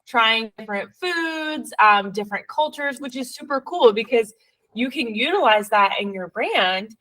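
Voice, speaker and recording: A woman's voice: on the high side, lively intonation, a little slow, a bit bright, poor audio. Upbeat English-accented woman hosting. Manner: upbeat, chatty, with many fillers and restarts. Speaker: podcast host. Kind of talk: podcast interview.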